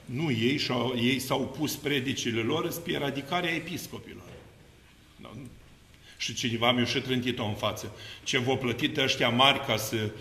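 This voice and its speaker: Middle-aged man preaching, forceful bright tone, emphatic, rises to loud bursts, long church reverb. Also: a little hiss or hum. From Romania. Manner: emphatic, swings between low musing and loud exclamations; animated hand gestures while seated. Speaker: Orthodox priest. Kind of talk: extemporaneous sermon. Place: seated before an iconostasis in a church. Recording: echoey church hall.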